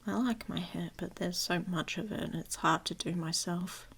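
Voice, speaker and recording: A woman playing a gruff but protective werewolf in an intimate ASMR romance roleplay, speaking bluntly and haltingly in a husky voice that often drops to a whisper. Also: lowish voice, fairly bright tone, heavily acted. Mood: grudging, tender. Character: gruff, grumpy, protective she-wolf shifter woman, secretly affectionate.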